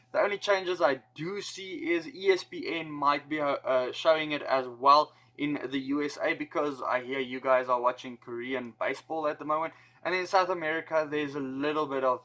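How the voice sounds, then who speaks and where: Man, South African accent, higher-pitched voice, chatty vlogger, joking asides. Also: a bit bright, rough recording. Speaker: rugby YouTuber. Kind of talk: casual explainer vlog.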